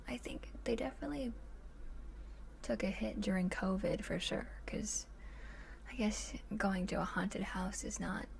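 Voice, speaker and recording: A woman chatting casually in a soft, breathy voice that often drops to a whisper, in a gentle ASMR livestream style with hesitant musing. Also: medium pitch, a little hiss or hum. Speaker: ASMR live streamer. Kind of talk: soft ASMR chat with viewers, much of it whispered.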